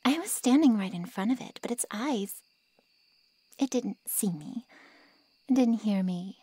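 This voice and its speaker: A woman playing a haughty, callous ancient vampire in an ASMR roleplay drama, with mocking sarcasm and a breathy drawl. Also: medium pitch, expressive, unhurried, dark tone, no echo. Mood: dismissive.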